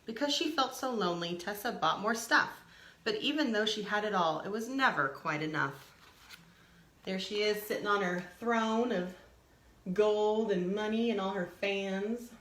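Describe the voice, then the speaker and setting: A woman reading a picture book aloud to young children, expressively, pausing to add simple explanatory asides. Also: mid-range voice, fairly bright tone, echoey room, rough recording. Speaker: storytime reader.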